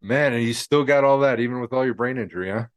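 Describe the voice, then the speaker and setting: A man hosting an interview, relaxed and supportive, with lots of fillers. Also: mid-range voice, quick pace, clean tone. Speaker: podcast host. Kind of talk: casual interview.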